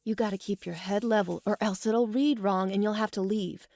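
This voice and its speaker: A woman's voice: mid-range voice, fairly even intonation, moderate pace, dark tone, no echo, close to an everyday voice. Woman acting a breezy, reassuring wasteland patroller. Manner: breezy, soothing, familiar. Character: friendly, chatty, reassuring female wasteland patroller. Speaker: voice actor. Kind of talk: audio drama acting.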